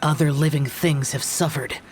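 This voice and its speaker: A man's voice: fairly high pitch, steady tone, normal speed, faint music behind, lightly acted. An actor voicing an ancient, brooding male demon-slayer in a video game, solemn, terse and formal. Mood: sorrowful resignation. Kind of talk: video game character acting.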